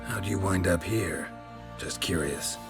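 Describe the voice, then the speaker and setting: An extremely low male voice a stoic mercenary monster hunter in a fantasy game. He talks in terse, dry, deadpan lines, understated throughout, over clearly audible music. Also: measured pace. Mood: deadpan, probing. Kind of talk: low-key video game acting.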